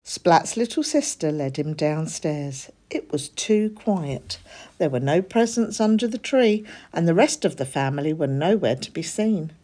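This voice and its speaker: A woman reads a children's story aloud. She takes her time and varies her pitch a great deal, giving the narration an expressive shape. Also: unhurried. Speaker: storybook reader.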